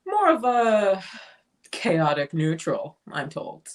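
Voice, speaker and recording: A woman's voice: medium pitch, big pitch swings, slow. A woman playing an aloof, sardonic bohemian artist in a dramatic play reading. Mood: wry.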